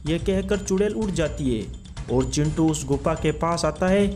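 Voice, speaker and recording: A man's voice: on the high side, a little slow, faint music behind. Man narrating a cartoon story. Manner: steady storyteller.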